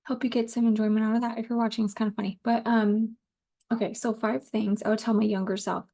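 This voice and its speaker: A woman's voice: fairly high pitch, little pitch movement, fast, vocal fry, dark tone. A woman in her thirties hosting a casual solo podcast, talking in an informal, rambling way. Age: 30s. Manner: informal, rambling, laughs easily, occasional swearing. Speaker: podcast host.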